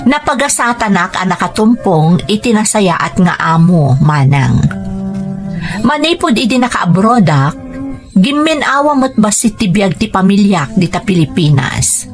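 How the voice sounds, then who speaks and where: A woman's voice: mid-range voice, sing-song, a little slow, soft background music. A woman playing a dutiful younger sister who works abroad as a domestic helper, speaking with a Filipino Ilocano accent in an audio drama with first-person narration. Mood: reflective, grateful.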